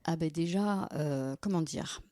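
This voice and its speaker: A woman in her forties from Brussels, a lawyer telling stories as a podcast guest, lively and laughing often. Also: deep voice, a little slow, dry recording, clean studio sound. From Belgium, Brussels. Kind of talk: casual storytelling interview.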